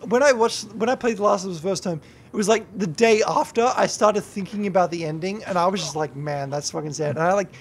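A drunk young man rambling and swearing through a gameplay livestream, yelling at the game now and then. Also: high-pitched, quick pace. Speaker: YouTuber and streamer.

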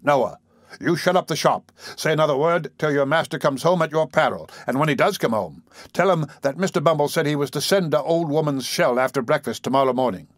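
A man's voice: on the high side, fairly quick, dry recording, natural voice. A man voicing a pompous, self-important parish beadle in an audiobook, scolding and declaiming with indignation. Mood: stern. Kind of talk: audiobook character voice.

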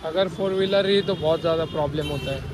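A young man with a slightly hoarse voice narrating a casual motovlog to his viewers, chatty and friendly, calling them guys and brother. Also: fairly high pitch, quick pace. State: hoarse from dust. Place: outdoors on busy city streets with traffic. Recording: noisy outdoor street recording. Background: traffic and motorcycle engine noise.

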